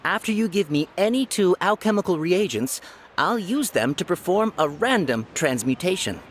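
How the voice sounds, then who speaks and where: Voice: medium pitch, very animated, normal speed, slight background noise, lightly acted. An actor playing a chatty, courteous, showy fortune-telling stall vendor in a video game. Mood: courteous, pitching. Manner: salesy, theatrical, courteous. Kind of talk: English video game voice acting. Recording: music behind the voice. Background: game music.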